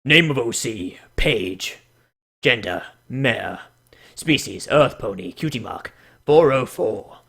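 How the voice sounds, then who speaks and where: A man putting on a solemn, measured narrator for a mock-dramatic storybook reading of a pony character profile. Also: mid-range voice, lively intonation, creaky, fairly bright tone, slightly rough, voice pushed down, full character voice.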